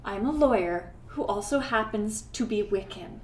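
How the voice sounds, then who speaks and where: An actress playing a no-nonsense Wiccan lawyer, firm, dry and corrective, in a comedic audio drama. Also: female voice, medium pitch, lively intonation, moderate pace, a little mellow, a little hiss or hum, lightly acted. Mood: exasperated. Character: no-nonsense Wiccan woman lawyer, polite but easily exasperated.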